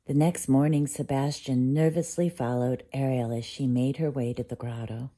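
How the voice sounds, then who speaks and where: Low, mellow woman reading a bedtime story. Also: steady tone, normal speed. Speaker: storybook reader. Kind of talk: expressive bedtime story narration.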